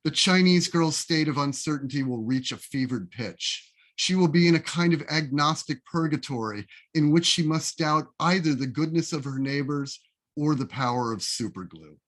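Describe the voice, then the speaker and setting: A man reading his own essay aloud as a literary reading, with a deliberate, wry, expressive storytelling delivery, recorded over a video call. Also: a little high. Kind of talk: literary reading, scripted first-person narration.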